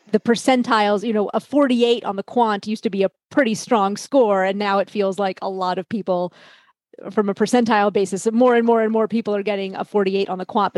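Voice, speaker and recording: A woman on a panel discussion, thinking aloud in a measured way with frequent hedges. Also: medium pitch, a little mellow, no room sound.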